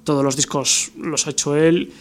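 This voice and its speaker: A middle-aged musician from Bilbao, answering interview questions thoughtfully, with many fillers and tag questions. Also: male voice, on the high side, level tone, normal speed. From Bilbao, Spain. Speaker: musician guest.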